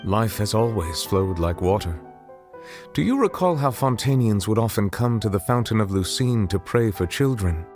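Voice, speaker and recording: An actor playing a grave, formal dragon sovereign who serves as chief judge in a video game. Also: male voice, lowish voice, animated, natural voice. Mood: solemn, explanatory. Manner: formal, measured, courteous. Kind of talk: video game dialogue acting. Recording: music behind the voice. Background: game music.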